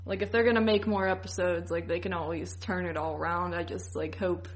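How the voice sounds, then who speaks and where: A woman's voice: lowish voice, fairly even intonation, fairly quick. A woman co-hosting a podcast, chatting in a slangy, rambling way peppered with "like". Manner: slangy, rambling, heavy use of "like". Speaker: podcast co-host. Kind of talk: casual chat reviewing a TV series.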